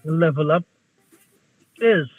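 A man's voice: on the high side, lively intonation, slow, darkish tone, no room sound. A man hosting a casual talk show, teasing his co-hosts in a playful way. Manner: playful, teasing, Caribbean English phrasing. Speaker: show host. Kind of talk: casual chat. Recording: tinny phone-like line.

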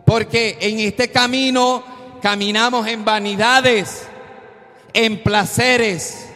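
A man with a very high voice delivers a sermon at a slow, deliberate pace. He exhorts his listeners earnestly and breaks into loud emphatic peaks. His voice rings through a long reverberant hall. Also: fairly even intonation, soft background music. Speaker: preacher.

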